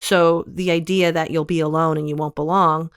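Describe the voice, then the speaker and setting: A woman in her forties talks through her experiences in an earnest, reflective way, restarting sentences as she goes. Her voice sits on the low side. The sound is dry, with no room echo. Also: little pitch movement, normal speed, clear, resonant tone. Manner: earnest, reflective, frequent self-corrections. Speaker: guest author. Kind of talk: candid interview conversation.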